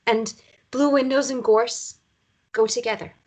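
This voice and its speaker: Higher-pitched, wistful young mother, acted by a woman. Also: fairly even intonation, a little slow, darkish tone. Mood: fond, matter-of-fact. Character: wistful, dreamy mother living by the coast. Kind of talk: naturalistic romance drama table read.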